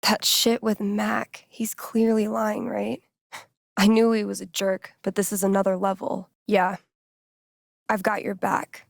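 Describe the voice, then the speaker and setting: A woman's voice: mid-range voice, completely flat delivery, unhurried, creaky, no room sound, studio quality. An actress playing a wry, casual young woman in a video game, teasing and loyal. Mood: subdued, caring. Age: young adult. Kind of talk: naturalistic video game dialogue acting.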